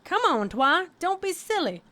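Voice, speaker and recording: A woman's voice: extremely high pitch, very animated, unhurried, heavy background noise, heavily acted. A woman voicing a down-to-earth, plucky female farm pony with an American Southern accent and a folksy, plain-spoken manner. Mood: reassuring. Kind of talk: fan fiction character voice acting.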